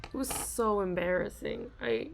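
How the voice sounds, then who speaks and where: A young woman in an ASMR role-play, confiding softly to a listener, giggling often and halting with restarts. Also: medium pitch, creaky, slight background noise. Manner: soft, giggly, self-conscious, halting with many restarts.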